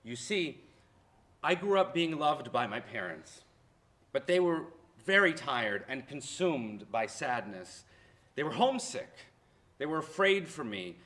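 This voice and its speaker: An actor playing a history professor, the grown son of deported immigrants, reminiscing in a theatrical monologue that swings from hushed to loud. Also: male voice, mid-range voice, very animated, a little slow. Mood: wistful. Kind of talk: dramatic monologue in a staged play reading.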